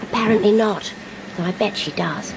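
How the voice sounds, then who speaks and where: An actress playing a polite, curious, sharp-eyed young Englishwoman in a radio drama, speaking in a crisp, inquisitive way. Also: moderate pace, natural voice. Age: young adult. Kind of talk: radio drama acting with first-person narration. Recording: train rumble and noise behind.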